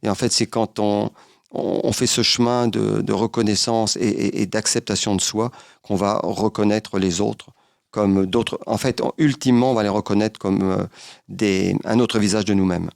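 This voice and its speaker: A man answering as an interview guest, earnest and philosophical, often halting and repeating his words. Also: on the low side, steady tone, creaky, dry recording, clean studio sound. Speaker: interview guest, travel writer. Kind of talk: reflective conversational interview.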